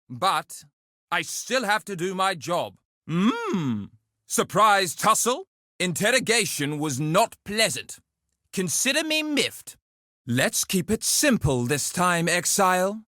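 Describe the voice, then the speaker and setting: A duelist who relishes a scrap in a video game, speaking. The voice is high, forceful and very dry, close in the ear. His short challenges are cocky yet sporting and gentlemanly. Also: very animated, takes it slowly, dry recording, full character voice. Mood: miffed. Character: cocky, chivalrous male duelist eager for a scrap.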